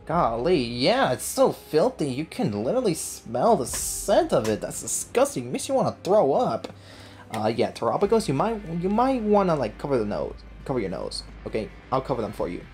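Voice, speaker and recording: A woman with a low voice gives loose, slangy gameplay commentary. Her pitch jumps around as she reacts to the game, with faint music playing behind her. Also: very animated, moderate pace, a little mellow. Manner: slangy, reactive, talks to self and viewers. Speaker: gaming streamer.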